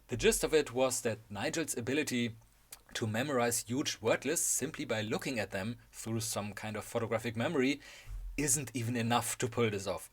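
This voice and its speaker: A man's voice: mid-range voice, slightly rough. A man with a German accent narrating a scripted video essay in a wry, enthusiastic way.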